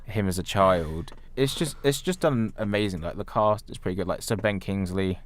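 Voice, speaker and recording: A man's voice: low-pitched, normal speed, slight background noise. A man co-hosting a podcast, talking about films in a casual, conversational style. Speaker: podcast co-host. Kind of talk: casual film discussion.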